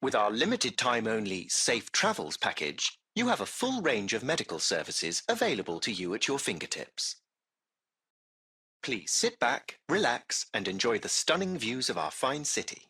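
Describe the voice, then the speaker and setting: AI chauffeur, very animated intonation, gravelly edge, formal, servile, ornate phrasing, video game concierge. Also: male voice, mid-range voice, heavily acted. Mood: politely solicitous. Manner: formal, impeccably polite, ornate, measured diction. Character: courteous, servile artificial intelligence chauffeur of a luxury taxi. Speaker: AI driver and concierge.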